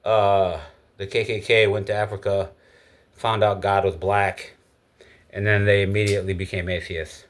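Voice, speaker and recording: A man's voice: fairly low pitch, little pitch movement, moderate pace. A man from Egypt giving a casual, opinionated spoken monologue. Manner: opinionated, lecturing. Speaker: speaker giving his views.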